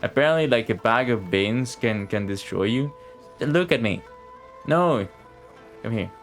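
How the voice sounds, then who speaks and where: A man's voice: medium pitch, animated, measured pace. A man giving casual live game commentary, reacting in an informal, slangy, sweary way. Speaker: game streamer. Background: game music.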